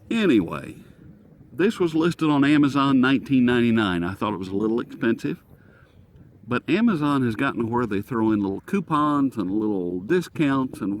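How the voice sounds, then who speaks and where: A man giving a casual, rambling gadget review outdoors, folksy and self-deprecating, easily sidetracked. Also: mid-range voice. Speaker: product reviewer presenting to camera. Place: outdoors on a breezy day. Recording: breezy outdoor recording with wind noise. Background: light wind noise.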